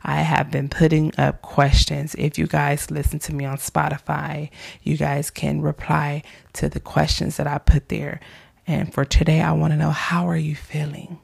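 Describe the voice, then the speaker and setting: Hoarse, gravelly woman podcaster. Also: deep voice, monotone, moderate pace. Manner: soft-spoken, drops into whispers, affectionate. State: losing her voice. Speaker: podcast host. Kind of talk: casual faith-based encouragement.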